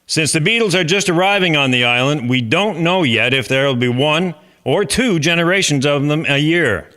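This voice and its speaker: Man acting formal, bright-voiced farmers' society chairman. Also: fairly high pitch, lively intonation, moderate pace. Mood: formal, instructive. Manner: formal, instructive, speechmaking. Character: earnest male chairman of a farmers' agricultural society. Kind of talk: amateur historical drama, formal speech.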